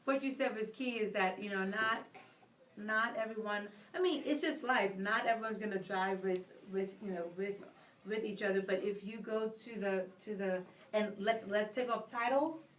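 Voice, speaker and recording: A chatty woman co-hosts a live radio talk show, winding through long questions and laughing easily. Her voice is middle in pitch. The sound is roomy and she is heard from a distance. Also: moderate pace, slight background noise.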